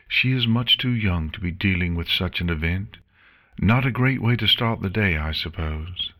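A man's voice: low-pitched, fairly bright tone, pitched above the performer's own voice. A man voicing a nervous, formal female pony nurse who keeps apologizing. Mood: pitying. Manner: formal, anxious, quick to apologize.

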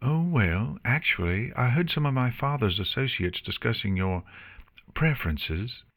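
A man's voice: mid-range voice, expressive, a little slow, fairly bright tone, no echo, pitched above the performer's own voice. A man voicing a polite, hospitable and forthright young pony mare in a storybook.

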